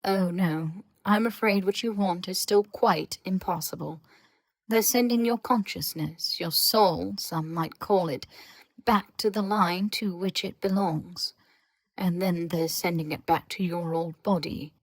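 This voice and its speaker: A woman acts out an aloof government researcher in an audio drama. The voice is on the low side and closely dry-recorded, with formal, measured lecturing and dry sarcasm. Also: dry recording, low-quality recording. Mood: dry, sarcastic. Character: aloof, sarcastic, scholarly government researcher speaking through voice-disguising charm. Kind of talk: scripted audio fiction dialogue.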